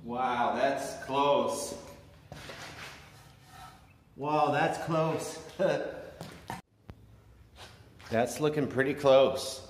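A man in his forties casually talking through a DIY job to camera, halting as he thinks aloud. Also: medium pitch, steady tone. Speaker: DIY presenter. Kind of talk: casual how-to narration to camera. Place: garage, later outside by a house. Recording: roomy echo, faint background noise.

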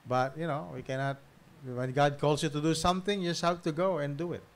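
A middle-aged man with a Filipino accent speaking informally as a pastor, pausing often and punctuating with amens. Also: fairly high pitch, steady tone. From Philippines. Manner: halting, with repeated amens. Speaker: pastor introducing guest speaker. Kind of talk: informal church address. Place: small church hall, at pulpit. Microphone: pulpit microphone.

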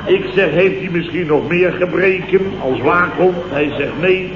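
A man acting out an indignant Dutch boss in an old radio comedy, blustering and sarcastic. His voice sits a bit high, and the recording is roomy and very noisy. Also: a little slow, lo-fi sound, close to an everyday voice. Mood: aggrieved. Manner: blustering, exclamatory, sarcastic storytelling. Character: grumbling, indignant male boss recounting his mishaps.

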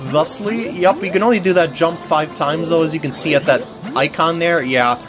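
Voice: mid-range voice, moderate pace, over music. A game walkthrough host giving casual, rambling commentary with mock exasperation.

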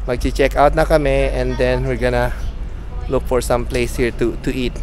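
A relaxed Filipino man in his forties chats through a travel vlog, holding the camera at arm's length on a hilltop balcony. His voice sits at an ordinary middle pitch. Outdoor ambience and a clear hum of background noise run under his voice. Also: normal speed. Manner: relaxed, chatty, addresses viewers as guys. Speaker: travel vlogger. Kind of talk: casual travel vlog, mixing Tagalog and English. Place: outdoor rooftop balcony on a hill. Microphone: handheld camera at arm's length.

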